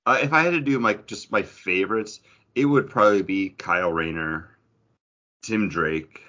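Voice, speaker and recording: A man's voice: fairly low pitch, normal speed, bright, forceful tone, dull audio. A man co-hosting a podcast in casual geek-culture chat, rambling and interrupting himself with enthusiasm. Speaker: podcast co-host.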